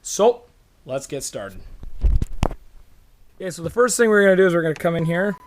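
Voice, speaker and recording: A hoarse man with a slightly high voice runs a relaxed, chatty car how-to demonstration outdoors beside his pickup. He talks straight to the viewer while filming one-handed, over a faint hum of outdoor ambience. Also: moderate pace, poor audio. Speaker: car how-to video host. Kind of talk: casual hands-on demonstration. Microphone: camera held in one hand.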